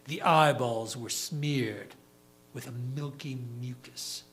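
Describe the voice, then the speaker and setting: A man reading a theatrical verse monologue as a dead, defiant newspaper publisher, in solemn, measured phrases. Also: mid-range voice, fairly bright tone, soft background music. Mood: grave, haunted. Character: dead, defiant male newspaper publisher recounting a grim vision.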